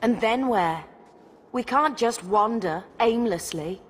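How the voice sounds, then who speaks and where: A woman's voice: on the high side, expressive, slow, a little hiss or hum. An actress playing an anxious young woman fleeing with her family, worried and questioning.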